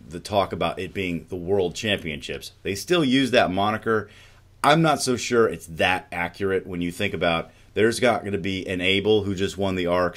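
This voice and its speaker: Middle-aged male host, very low voice, conversational, talking over a video call. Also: fairly bright tone. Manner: conversational, poses questions to co-host. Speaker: show host. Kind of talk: casual discussion show. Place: studio with dark backdrop.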